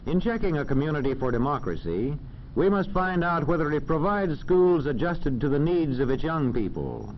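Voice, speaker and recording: An American man narrating a 1940s educational film in a formal, measured, didactic manner. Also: on the high side, very animated. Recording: dull, faintly noisy old film soundtrack.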